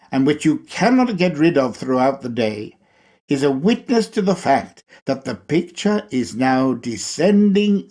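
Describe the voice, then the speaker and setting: A man reading a spiritual lecture aloud in a deliberate, measured way, with emphatic pauses. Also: medium pitch, a little mellow. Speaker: reader.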